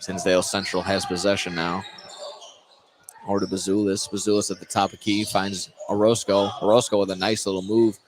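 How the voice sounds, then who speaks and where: A man's voice: deep voice, little pitch movement, fairly quick. An American man doing live radio play-by-play of a basketball game, sometimes stumbling over names and restarting phrases. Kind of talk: live radio sports commentary. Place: courtside in a high school basketball gym. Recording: clear gym noise behind the voice. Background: gym ambience, basketball bounces.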